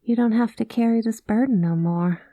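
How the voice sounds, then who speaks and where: Soft-spoken woman with a cowgirl drawl. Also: a little high, moderate pace, soft background music. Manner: soft-spoken, slips into whispers; cowboy slang and drawl. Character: rogue woman space pilot, folksy cowgirl drawl. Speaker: ASMR roleplay performer. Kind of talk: ASMR roleplay, spoken to the listener.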